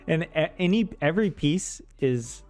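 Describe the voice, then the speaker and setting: A man's voice: a little high, darkish tone. A man from the American South chatting casually on a livestream in a rambling, self-deprecating, joking way. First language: English. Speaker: streamer learning Finnish.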